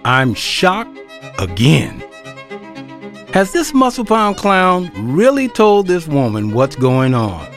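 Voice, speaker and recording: A man's voice: fairly high pitch, wildly swooping pitch, slow, a bit bright, soft background music. A man narrating a scripted audio drama in a wry storytelling manner.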